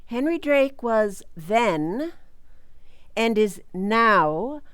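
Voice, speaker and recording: A woman's voice: fairly high pitch, no room sound, clean studio sound. A woman playing a composed psychiatrist giving firm, formal testimony in a radio drama. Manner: formal, deliberate.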